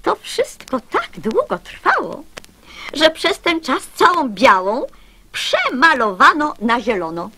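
A woman reciting children's verse as an expressive radio storyteller. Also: high-pitched, slow pace.

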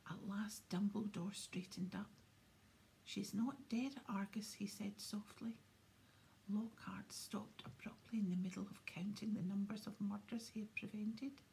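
A woman reading a story aloud in a soft, unvoiced whisper. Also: mid-range voice, fairly even intonation, normal speed, vocal fry, a little hiss or hum, rough recording. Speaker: audiobook reader.

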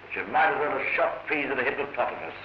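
A man's voice: mid-range voice, animated, quick pace. A plain-spoken man, a merchant ship's captain, speaking colloquially in a 1940s documentary film. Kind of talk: 1940s documentary dramatised account. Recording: noisy, echoey, dull 1940s film soundtrack.

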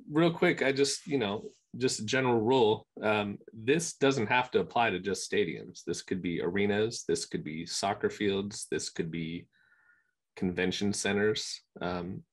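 Male guest in his forties on a webcam call; very deep voice, plain and to the point. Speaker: guest, media company founder. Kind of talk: video call interview. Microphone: webcam on video call.

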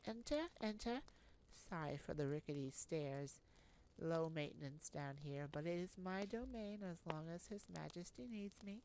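A woman voicing a humble, weary, hospitable castle scholar with a soft, hesitant manner in a fantasy audio roleplay. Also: low voice, lively intonation, moderate pace, poor audio. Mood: welcoming, apologetic. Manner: hesitant, soft-spoken, modest. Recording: faint background noise.

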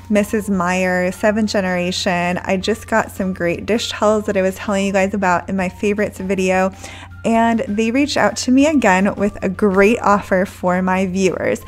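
Chatty woman narrating a cleaning routine. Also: medium pitch. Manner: friendly, chatty product recommendations. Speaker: home cleaning vlogger. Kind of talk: scripted voice-over narration.

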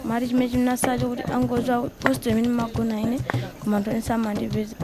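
Fast, even-toned young Malawian woman. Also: on the high side. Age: young adult. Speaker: interviewee. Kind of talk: personal testimony.

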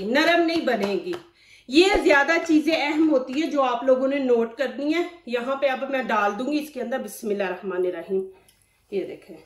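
Woman, Pakistani Urdu accent, home cooking host, high voice, echoey kitchen, faint noise. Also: distant mic. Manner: chatty, instructive, frequent religious phrases. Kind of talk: casual cooking demonstration.

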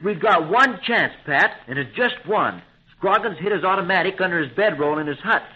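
A man's voice: high voice, lively intonation, moderate pace, husky. An actor playing a plucky, determined young male adventurer, eager and slangy, in a 1930s radio serial. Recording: dull, lo-fi 1930s radio recording.

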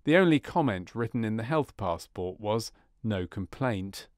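A man reading scripted narration for a medical training film in a measured, formal manner. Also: on the low side, animated, darkish tone, dry recording, clean studio sound.

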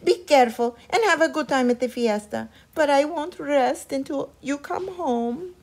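Woman doing a high, fretful mother goat. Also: moderate pace, darkish tone. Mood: anxious. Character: worried anxious mother goat.

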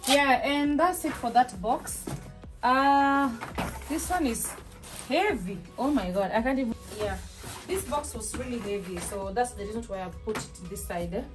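A woman talks through her grocery haul in a small home kitchen. Her voice sits a little high, and the talk is relaxed and chatty, full of fillers and restarts. Music plays clearly behind her. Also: normal speed, poor audio. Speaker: vlogger. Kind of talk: casual shop-with-me haul chat. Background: background music.

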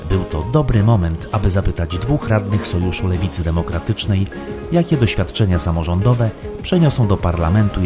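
Deep-voiced male news voice-over. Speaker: news narrator.

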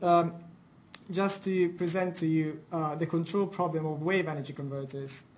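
A man's voice: fairly high pitch, a little mellow, a little hiss or hum. A young man from Italy with an Italian accent, presenting his research at a university seminar in an informal way, with many fillers and restarts. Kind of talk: academic seminar talk.

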